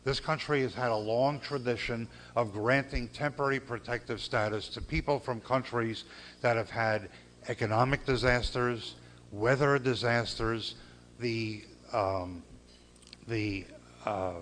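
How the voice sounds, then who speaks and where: An older man gives an earnest, measured speech at a gala podium. He pauses often and repeats key phrases. A faint background hiss sits under his mid-pitched voice. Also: a bit bright. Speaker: civil rights lawyer giving a gala speech. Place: banquet hall.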